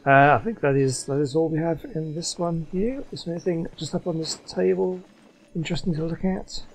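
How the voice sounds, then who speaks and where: A man's voice: fairly high pitch, steady tone, brisk, vocal fry, a little hiss or hum, low-quality recording. A man giving casual gameplay commentary, thinking aloud as he plays. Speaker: gaming YouTuber.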